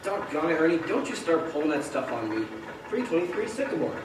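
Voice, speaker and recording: A man's voice: fairly high pitch, sing-song, brisk. A man acting a frantic, bewildered family man in a stage radio play, agitated and pleading. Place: live stage in a large echoing hall. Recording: echoey hall from far off, noisy.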